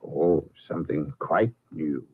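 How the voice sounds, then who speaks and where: An actor in an old radio drama playing a courteous, unflappable Martian who speaks formally and precisely. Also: male voice, extremely low pitch, takes it slowly, husky, narrow, tinny audio, full character voice. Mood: formal, assured.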